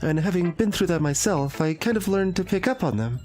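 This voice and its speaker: A man voicing a shy, soft-spoken bookish teenage girl from a visual novel, hesitant and apologetic. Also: sits high, lively intonation, a little fast, soft background music. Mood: earnest, hesitant.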